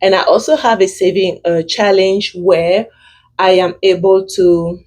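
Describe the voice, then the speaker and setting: A young woman with a thick French-speaking accent, giving casual money advice to her viewers, pausing often with fillers and restarting her sentences. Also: medium pitch, fairly even intonation, darkish tone. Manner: hesitant, many fillers and restarts. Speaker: vlogger. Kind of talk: casual personal finance advice.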